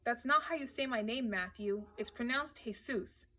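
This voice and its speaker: A woman's voice: fairly high pitch, moderate pace, sharp tone. A woman voicing a joking, easily riled adolescent boy in an audiobook story, cheeky and blunt. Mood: annoyed.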